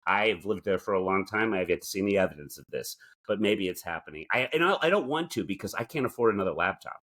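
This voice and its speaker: A man chats casually as a podcast co-host, wry and joking. His voice is on the low side, but it swings widely up and down as he talks. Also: lively intonation, fast, a bit bright. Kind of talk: casual comedic chat.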